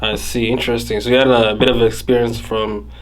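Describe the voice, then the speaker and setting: Podcast host, man, hype banter, mid-pitched, faint background hum. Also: flat delivery, brisk, rough recording. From Ghana. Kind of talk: casual interview chat.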